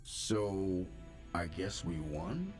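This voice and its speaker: An actor playing a youthful, nervously friendly superhero in a video game, casual and tentative. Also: male voice, low-pitched, sing-song, very slow, a little mellow, heavily acted. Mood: unsure. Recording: music behind the voice.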